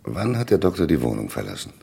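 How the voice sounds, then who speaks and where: A man playing a gruff, curt French police commissioner with a gravelly voice in a German radio crime play. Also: very deep voice, sing-song, fast talker, dark tone, heavily acted. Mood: probing, official. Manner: curt, commanding. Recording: older radio drama recording, noisy background.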